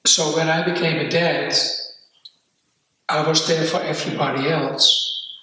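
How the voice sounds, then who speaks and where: Older dutch guy, slow bright voice, far off in an echoey room. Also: fairly high pitch, fairly even intonation. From Netherlands. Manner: reflective, halting, with restarts. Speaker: guest. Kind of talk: personal storytelling interview.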